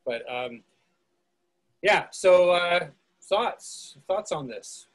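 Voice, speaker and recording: A man's voice: high-pitched, animated, drawn-out words. A man hosting an online readers theatre, moderating a casual discussion over a video call. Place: indoors at home on a group video call.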